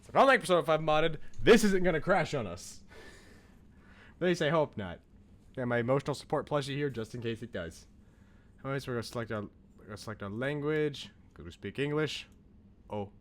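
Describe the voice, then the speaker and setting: Male game streamer, mid-pitched, chatty and informal, drifting between asides and reading aloud. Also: expressive, brisk, long pauses. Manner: chatty, talks to viewers, rambling asides. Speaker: streamer, video game host. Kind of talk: casual livestream chat with on-screen text read aloud.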